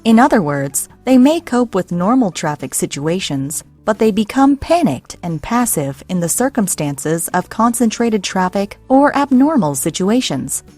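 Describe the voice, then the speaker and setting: A woman's voice: mid-range voice, a little mellow. A woman reading a scripted explainer narration in a formal, read-out manner. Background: background music.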